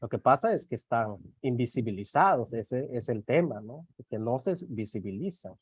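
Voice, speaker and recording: A man's voice: medium pitch, animated, moderate pace, darkish tone, breathy edge, no room sound. Maya-accented man on a video call. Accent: Yucatec Maya. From Mexico. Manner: hesitant, many fillers. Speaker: panelist. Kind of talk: online panel talk.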